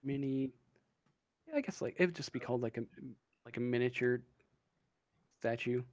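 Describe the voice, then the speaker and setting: A man acting as game master, improvising narration for a tabletop role-playing game in a halting way, thinking aloud. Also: medium pitch, darkish tone, no room sound.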